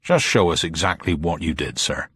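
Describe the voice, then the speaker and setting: A male reader plays a formal police superintendent in a detective audiobook. He is brisk and politely insistent, with a husky edge and a dry, close sound. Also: a bass voice, lively intonation, a bit bright, dry recording, heavily acted.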